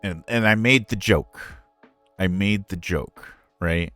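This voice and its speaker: Very deep-voiced man chatting candidly on stream. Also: slow, bright, forceful tone, no room sound, faint music behind. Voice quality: very deep natural voice. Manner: candid, conversational, self-deprecating. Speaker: streamer and ASMR roleplay voice performer answering a Q&A. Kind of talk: casual livestream storytelling.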